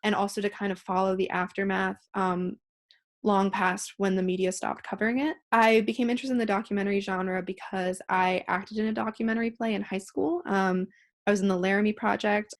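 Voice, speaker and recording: A woman's voice: mid-range voice, level tone, a little fast. A woman in her twenties with an American accent, answering interview questions conversationally as a playwright. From Pittsburgh, United States. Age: 20s.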